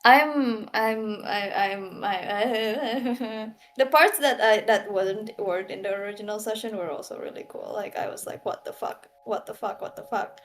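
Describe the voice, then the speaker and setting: A woman player chatting excitedly after a tabletop session on a video call, stammering and swearing. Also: mid-range voice, measured pace, few pauses, faint music behind. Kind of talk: casual post-session chat.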